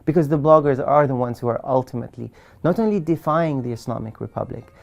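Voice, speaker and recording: A man's voice: mid-range voice, fast talker, gravelly, soft background music. A man from Iran, an author speaking earnestly and emphatically in a documentary interview.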